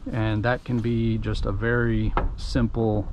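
A middle-aged man with a Texan accent, giving a relaxed, folksy step-by-step how-to while working at a pickup truck tailgate outdoors, with frequent ums and uhs. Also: fairly low pitch, measured pace. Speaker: DIY tutorial host. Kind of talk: casual step-by-step how-to narration. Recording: noisy outdoor camera audio.

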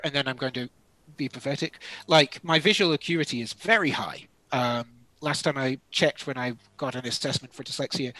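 A man's voice: mid-range voice, sharp tone, no echo, rough recording. A man presenting a live webinar in casual, self-deprecating chat, his mouth dry from talking. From Scotland. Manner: self-deprecating, chatty, digressive. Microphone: video call.

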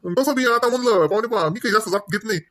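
A man's voice: high voice, quick pace, fairly bright tone. A man voicing chatty male relatives in a family comedy cartoon, with colloquial small talk and a Varhadi Marathi accent from Vidarbha. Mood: chatty, polite.

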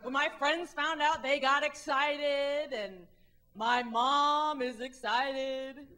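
A woman in her thirties from the Midwest works a comedy club stage through a handheld mic. Her voice is bright and sits a little high. She talks in a wry, animated way and slips into quick impressions of the people she quotes. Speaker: stand-up comedian. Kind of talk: stand-up comedy set.

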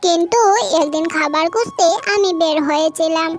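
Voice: very high voice, moderate pace. A performer voicing a trusting mother duck in a children's cartoon, with a childlike, plaintive delivery. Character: trusting female duck, anxious mother guarding her eggs. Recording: music bed behind the voice.

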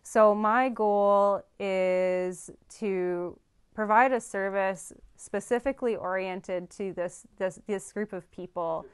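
Smooth-voiced woman vet, earnest. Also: mid-range voice, steady tone, slow pace. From Canada. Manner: earnest, thoughtful, many ums and restarts. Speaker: farm veterinarian. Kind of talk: interview answers. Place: studio with dark backdrop.

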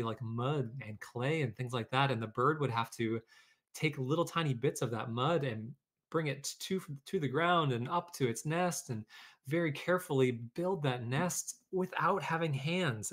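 A man's voice: medium pitch, a bit bright, husky. A man hosting a read-aloud for children, talking informally and explaining things, or reading a storybook. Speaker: educator, read-aloud host.

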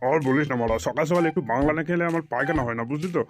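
A man acts out a brash cartoon drunkard with a crude, blustering, slangy way of talking. The lines tumble out very fast in a rather high male voice, over clearly audible music. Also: close to an everyday voice. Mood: confiding. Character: brash, foul-mouthed drunkard tough guy, quick to befriend. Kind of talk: comedy cartoon dialogue.

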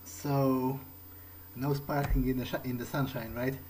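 A man's voice: mid-range voice, level tone, unhurried, a little mellow, a little hiss or hum. A man playing a video game in character, speaking hesitantly and thinking aloud in short phrases. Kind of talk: in-character game playthrough commentary.

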